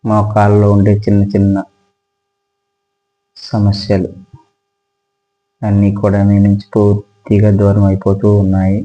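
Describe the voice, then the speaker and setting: Low, even-toned man guiding relaxation. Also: dull audio. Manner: slow, calm, soothing instruction with pauses. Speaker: yoga instructor. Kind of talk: guided relaxation meditation.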